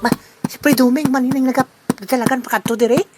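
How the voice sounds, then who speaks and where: Woman voicing a high, excitable Filipino buddy. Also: fast talker, music in the background, close to an everyday voice. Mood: excited. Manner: exclaiming, questioning. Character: excitable, inquisitive male buddy, Pre Albedo. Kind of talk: comic Filipino audio drama acting.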